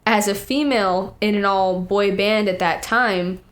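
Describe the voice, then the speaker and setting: A teenage young woman with a mid-pitched voice talks in a casual, conversational style. She tells stories in an animated way, slipping into quoted reactions and saying "like" often. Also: unhurried. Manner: animated retelling with acted-out quotes and frequent "like". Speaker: young singer and songwriter, interview guest. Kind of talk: casual chat, storytelling.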